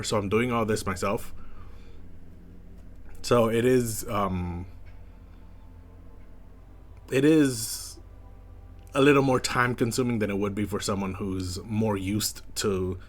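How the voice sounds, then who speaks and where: A young man with a slight lisp, chatting casually as a first-time streamer in a flat, monotone way with many fillers. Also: fairly low pitch, unhurried, pauses often. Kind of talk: casual chat.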